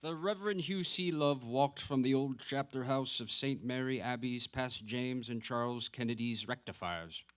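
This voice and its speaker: Male narrator of literary prose, medium pitch. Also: moderate pace, hardly any pauses, a bit bright, clear, resonant tone. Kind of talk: literary narration in a staged reading.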